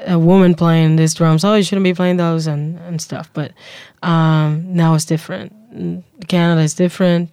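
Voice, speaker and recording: A young Cuban woman musician chatting casually in an interview, quick with little laughs. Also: fairly low pitch, level tone, dark tone, clear, resonant tone, no echo, studio quality. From Cuba. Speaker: musician and drummer.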